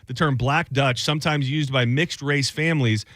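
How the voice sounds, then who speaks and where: Bright-voiced rambling radio host. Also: male voice, mid-range voice, moderate pace, dry recording. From Michigan. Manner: rambling, self-deprecating storytelling. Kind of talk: casual radio banter.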